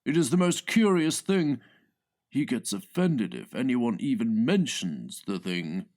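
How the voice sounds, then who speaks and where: A man voicing a discreet, earnest gang member who speaks in a hushed, matter-of-fact, confidential way in a comic audiobook. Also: mid-range voice, big pitch swings, a little slow. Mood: confiding. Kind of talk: comic audiobook character reading.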